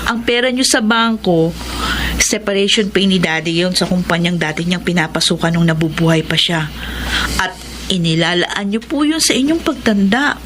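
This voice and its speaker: A woman's voice: lowish voice, normal speed, fairly bright tone, slight background noise, natural voice. A woman acting a practical, frank young saleslady in a Filipino radio drama. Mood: concerned, respectful. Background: background music.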